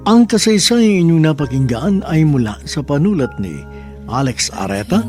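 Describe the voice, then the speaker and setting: A man announcing a radio drama in a theatrical, measured, scripted style. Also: a little high, sing-song, darkish tone, soft background music.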